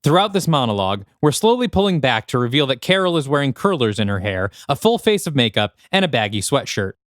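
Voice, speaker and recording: A man reading scripted screenplay narration for an audio drama in an animated, descriptive manner. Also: mid-range voice, fast, no echo, studio quality.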